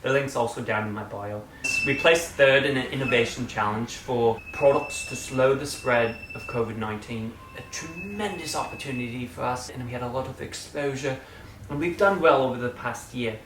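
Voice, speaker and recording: A man talks to his channel in a casual, playful update and hams it up, swinging from loud bursts to quiet asides. He sits some way off the microphone, so the voice comes through distant. The room adds an echo, with a faint hum of room noise underneath. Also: mid-range voice, normal speed. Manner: playful, theatrical, jumps between loud and quiet; slips into a put-on British-style accent at times. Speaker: channel host.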